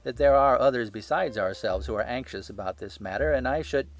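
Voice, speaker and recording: Man as gentleman detective, shrewd, bland, precise, courteous, storybook reading, medium pitch. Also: brisk, close to an everyday voice. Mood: amused, reflective. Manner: bland, precise, self-assured.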